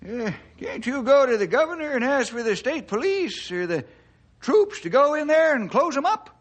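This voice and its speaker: An actor playing a folksy, excitable old sidekick in a radio drama, talking like a rustic old-timer. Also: male voice, extremely high pitch, moderate pace, heavily acted. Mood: agitated, eager. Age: older.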